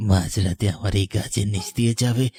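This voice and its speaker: A man's voice: fairly low pitch, dark tone, breathy edge, exaggerated voice. A man voicing a menacing, gloating tree ghost in a horror cartoon. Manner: threatening, gloating. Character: menacing male tree ghost lying in wait at midnight. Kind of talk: Bengali horror cartoon acting.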